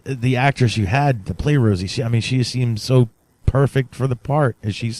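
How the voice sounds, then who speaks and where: A man's voice: mid-range voice, normal speed, darkish tone, no room sound. A man hosting a podcast, interviewing casually in an informal, admiring, fan-like manner.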